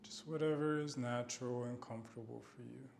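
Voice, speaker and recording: A man guiding a body scan meditation in a gentle, unhurried manner with long pauses. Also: mid-range voice, fairly even intonation, creaky, a little hiss or hum. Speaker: meditation guide.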